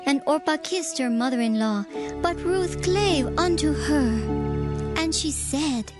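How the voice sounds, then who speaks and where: High-pitched woman narrating scripture. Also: animated. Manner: measured, solemn storytelling. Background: music.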